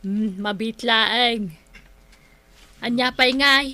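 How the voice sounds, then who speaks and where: A woman's voice: fairly high pitch, extremely slow, sharp tone, heavily acted. A woman playing a curt, demanding village moneylender in a comedic drama, with an Ilocano accent. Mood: grumbling. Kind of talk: comedic radio-style drama acting.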